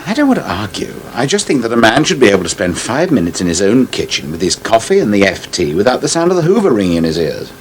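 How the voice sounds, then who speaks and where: An actor playing a sardonic, self-assured English husband with an upper-middle-class accent in a radio soap. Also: male voice, fairly low pitch, huge pitch swings, fast talker, few pauses. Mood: testy. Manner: sardonic, lordly. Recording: slightly noisy 1980s radio broadcast.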